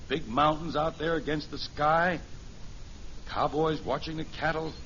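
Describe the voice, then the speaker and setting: An actor playing a kindly middle-aged American father in a 1950s radio sitcom, gentle, folksy and patient as he plays along with a child. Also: on the high side, unhurried. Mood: gentle, reassuring. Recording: muffled, hissy vintage radio recording.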